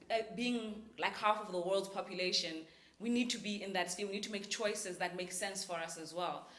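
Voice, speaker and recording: A woman's voice: medium pitch, fairly bright tone, roomy sound, a little hiss or hum. A woman on a discussion panel, talking casually and laughing often while she explains. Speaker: cast member in a panel discussion.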